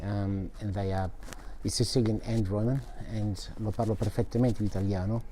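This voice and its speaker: A man, raised between Australia and Italy, gives measured, casual answers with pauses as an interview guest. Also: on the low side, fairly even intonation, mellow. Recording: background noise, room ambience.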